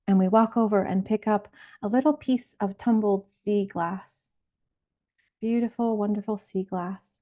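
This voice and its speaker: A woman leading a guided visualization as a relaxation guide, speaking in a soothing, unhurried way. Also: medium pitch, fairly even intonation. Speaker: laughter yoga leader, meditation guide. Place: live online or group session recording. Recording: band-limited, phone-like sound.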